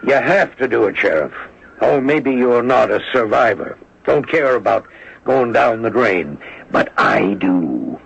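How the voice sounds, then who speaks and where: Through a dull, faintly noisy radio recording comes an ambitious American district attorney. He is suave and pushy, with creak in the voice and animated, wide-ranging pitch. His persuasion has a needling edge, in radio mystery style. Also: unhurried, full character voice. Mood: needling, pressing. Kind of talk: radio mystery drama acting.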